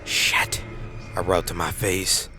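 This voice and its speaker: A man's voice: deep voice, little pitch movement, measured pace, bright, forceful tone, faint music behind. A man reading scripted first-person horror narration as a podcast narrator. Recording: faint background noise.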